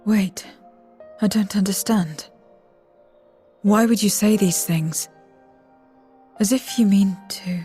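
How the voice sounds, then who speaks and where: A woman's voice: medium pitch, slow, pauses often, warm tone, close to an everyday voice. An actress playing a guarded, world-weary woman from a lost world in a video game cutscene, terse and detached. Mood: guarded, puzzled. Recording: music behind.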